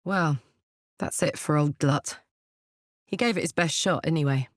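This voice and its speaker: A woman's voice: sits low, measured pace, no room sound, clean studio sound. An actress voicing a brash, big-hearted young female warrior in a video game, blunt and swaggering, tough yet playful and emotionally open. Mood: upbeat, loyal. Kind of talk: video game character voice lines.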